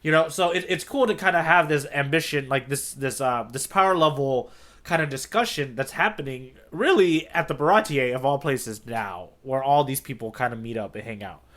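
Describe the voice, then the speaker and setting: A man with a medium-pitched voice talks as a podcast co-host. He is casual and enthusiastic, laying out his ideas in an explanatory way and often checking that his partner follows. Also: normal speed, a bit bright. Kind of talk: casual chat discussing a manga.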